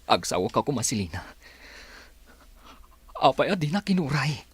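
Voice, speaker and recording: A man's voice: medium pitch, big pitch swings, moderate pace, husky. An actor with a northern Philippine accent playing a heartbroken, reproachful young man in an emotional, pleading radio melodrama style. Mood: hurt, reproachful.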